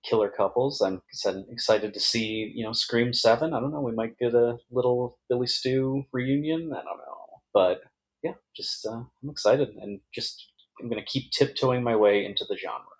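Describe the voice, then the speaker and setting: A man's voice: medium pitch, moderate pace, husky, rough recording. A man chatting casually as a guest on a podcast. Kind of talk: casual chat.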